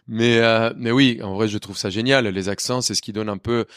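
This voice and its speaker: A man in his thirties with a low voice and a faint Colombian Spanish accent, speaking his second language fluently. He tells his story in a lively, candid way, stumbling and restarting often. The sound is close and dry, with no room echo. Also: moderate pace, clear, resonant tone. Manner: lively, many restarts and hesitations. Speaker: chef guest.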